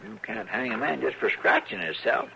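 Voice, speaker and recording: A man's voice: mid-range voice, animated, moderate pace, vocal fry, sharp tone, a little hiss or hum, full character voice, low-quality recording. A man playing an earnest, deferential Western sidekick with a rural drawl and a folksy, naive, hesitant manner in an old radio drama. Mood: shocked, worried. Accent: rural American Western drawl. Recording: old radio recording, audience-like background murmur.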